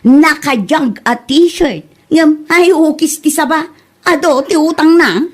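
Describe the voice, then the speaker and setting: A woman plays a sassy village gossip in a comedy podcast. The voice is high, with an Ilocano accent, emphatic and full of moralising disapproval. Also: slow pace. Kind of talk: comedy drama podcast acting.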